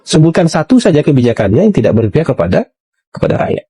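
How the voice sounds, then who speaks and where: Guy arguing in an interview, high voice but mellow, keeps pushing rhetorical questions. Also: big pitch swings, quick pace, husky. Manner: insistent, repeating rhetorical questions. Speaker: interview guest. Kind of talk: conversational political argument.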